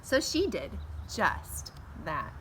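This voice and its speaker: Bright-voiced American woman reading a picture book. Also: fairly low pitch, wildly swooping pitch, very slow. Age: middle-aged. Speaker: teacher reading aloud to pupils. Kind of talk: children's picture-book read-aloud with asides. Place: outdoors in a backyard patio. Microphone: camera a few feet away. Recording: faint outdoor background noise.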